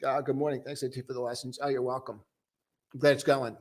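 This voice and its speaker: A young man casually hosting a live stock-trading stream, chatting loosely and joking with viewers in a relaxed, tired way. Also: medium pitch, fast talker. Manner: loose, joking, full of restarts and "dude". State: tired, low on coffee.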